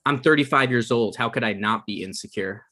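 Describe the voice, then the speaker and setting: A man's voice: medium pitch, brisk, bright, forceful tone. A man playing an insecure, defensive aspiring comedy writer in his thirties at a casual table read. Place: remote online table read over internet.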